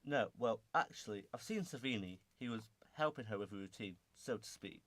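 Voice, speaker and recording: A man playing a gossipy circus worker who is quick to retort, in an amateur radio drama. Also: fairly low pitch, lively intonation, fairly bright tone, no echo. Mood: confiding.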